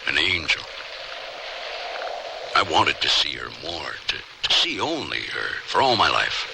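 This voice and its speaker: Behind some noise comes a brooding frontier outlaw in a radio drama, extremely low and gravelly. He is bitter and regretful, speaking slowly and haltingly, with big swings in his pitch. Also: sing-song, full character voice. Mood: bitter, wistful. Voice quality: very deep, gravelly. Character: notorious male desperado, rugged mountain guide, bitter and regretful.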